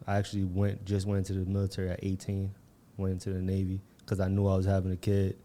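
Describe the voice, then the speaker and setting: A man's voice: sits low, completely flat delivery, fast, mellow. A man from the Bronx, a podcast guest, laid-back with short replies. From Bronx, New York. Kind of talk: casual interview chat.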